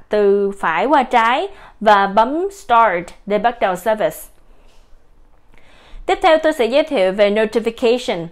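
A woman giving a steady, explanatory voice-over for a software product demo. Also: fairly high pitch.

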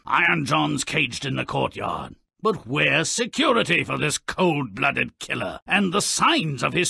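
An actor voicing a sardonic, gleefully morbid gnome-like troublemaker who tells fairy tales in a mocking, theatrical, gravelly way for a cartoon game. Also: male voice, a little high, sharp tone, no echo, clean studio sound, exaggerated voice. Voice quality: gravelly, raspy. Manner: theatrical, sarcastic, mocking storyteller. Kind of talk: scripted cartoon game narration.

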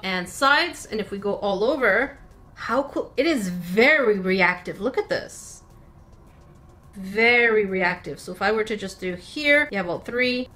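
Animated woman vlogger unboxing a kit. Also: on the high side. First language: French. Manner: chatty and animated, with sudden excited squeals and muttered asides. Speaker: craft kit reviewer. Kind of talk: casual unboxing vlog. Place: home studio at a desk.

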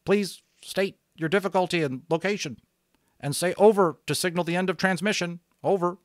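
A man's voice: high voice, normal speed, no echo, clean studio sound, pitched above the performer's own voice, close to an everyday voice. Man doing a clipped radio operator. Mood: businesslike. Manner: clipped radio procedure talk. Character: calm adult male radio operator.

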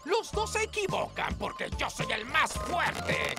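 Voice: high-pitched, very animated, measured pace, exaggerated voice. An actor with a Latin American Spanish accent voicing a boastful, whiny male wolf villain in a cartoon dub. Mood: gloating. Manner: whiny, bragging, yelps in pain. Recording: with loud children's music.

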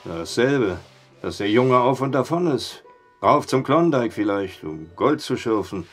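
A man's voice: mid-range voice, animated, unhurried, faint music behind. An actor playing a dry, skeptical male barkeeper in an audio drama. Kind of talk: German audio drama acting.

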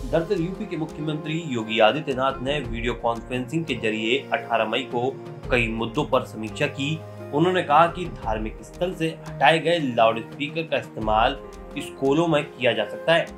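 A male news presenter narrates a scripted report in a mid-pitched voice. Background music plays beneath his narration. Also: moderate pace.

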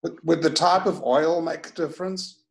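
An older man with a South African accent calls in over video chat. He speaks casually in a fairly high voice for a man, asking for advice. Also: fairly even intonation, moderate pace, gravelly. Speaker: caller asking for car advice. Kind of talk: casual question on a video call. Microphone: device mic on a video call.

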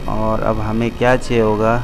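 A man talks viewers through a recipe at a gas stove in a commercial kitchen, naming each step plainly and calling them friends. His delivery stays level and even. A steady hum and kitchen noise sit clearly under his voice on the phone recording. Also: lowish voice, moderate pace. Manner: addresses viewers as friends, repeats steps plainly. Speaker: cooking vlogger. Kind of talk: step-by-step cooking instructions.

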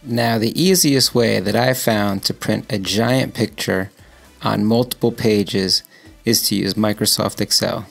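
A man talks through a computer tutorial at an unhurried, slow pace, with a heavy vocal fry in his lower-pitched voice. Faint music plays under his voice-over. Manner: relaxed, walks through clicks, playful sound effects. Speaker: DIY show co-host. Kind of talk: screen-recording voice-over tutorial.

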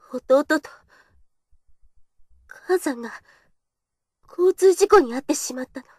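A woman's voice: high-pitched, dry recording, clean studio sound. A young woman playing a shy, earnest kendo girl in an audio drama monologue, with a hesitant, confessional delivery.

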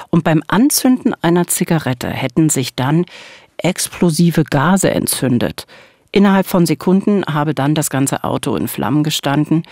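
A woman's voice: sits low, darkish tone, dry recording. Middle-aged woman storyteller, dry studio sound. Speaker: podcast co-host. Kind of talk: true-crime podcast narration. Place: small radio studio. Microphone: studio microphone, headphones.

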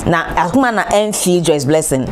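A woman in her thirties with a Ghanaian accent, presenting entertainment gossip from a studio chair in an animated, exclamatory way, firing rhetorical questions. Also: fairly low pitch, moderate pace, slight background noise. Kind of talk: gossip commentary, mixing Twi and English. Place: studio, seated on a large throne-like chair. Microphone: lapel.

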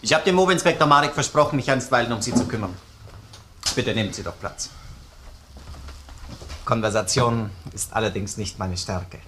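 An actor playing a dry, courteous yet probing Austrian police detective in a crime drama, with measured ironic politeness. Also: male voice, mid-range voice, background noise, natural voice. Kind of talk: crime radio play acting.

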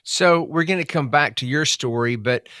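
A man hosting a podcast interview, asking questions in a folksy, relaxed way. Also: fairly high pitch, normal speed, no room sound, clean studio sound. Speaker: podcast host. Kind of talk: casual interview.

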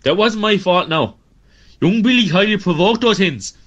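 A man's voice: high-pitched, expressive, normal speed, fairly bright tone, highs cut off. A man playing a defensive, cheeky Irish parish committee member in a radio drama. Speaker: actor in an amateur radio drama.

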